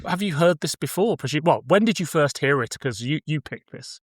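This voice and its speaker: A man's voice: fairly high pitch, lively intonation, a little fast, no room sound, clean studio sound. A middle-aged man hosting a podcast, chatting casually and giving opinions in colloquial language. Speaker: podcast host. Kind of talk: casual chat about cult science fiction.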